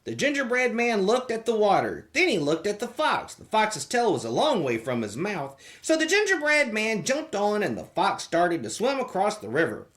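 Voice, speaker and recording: A children's show host reading a storybook aloud in a playful, theatrical, expressive way. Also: mid-range voice, moderate pace, few pauses.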